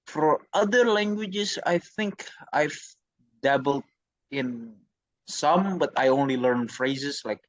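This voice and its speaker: A man's voice: fairly high pitch, takes it slowly, dry recording, poor audio. Hesitant young Indonesian man, close dry sound. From Indonesia, Jakarta. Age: young adult. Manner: hesitant, repeats phrases. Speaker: interviewee, university student. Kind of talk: informal interview answers.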